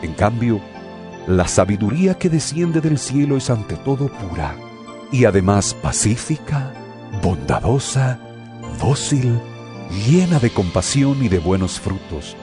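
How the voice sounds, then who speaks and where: An earnest apostle admonishes his brethren in a medium-pitched voice that rises and falls widely. The delivery is oratorical and emphatic, in the manner of a scripture audio drama, with music clearly playing behind it. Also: big pitch swings, unhurried. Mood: exhorting.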